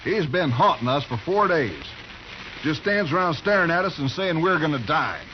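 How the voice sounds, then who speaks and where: A man's voice: a little high, expressive, normal speed, natural voice. An actor playing a bullying drifter gunman in a radio western, blunt and irritable. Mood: irritated. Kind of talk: 1950s radio western acting. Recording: muffled, faintly hissy old radio recording.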